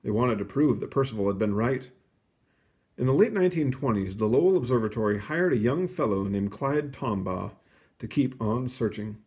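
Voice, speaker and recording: A man reading a children's storybook aloud in an expressive, explanatory way, with casual asides. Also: medium pitch, moderate pace. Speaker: storybook reader.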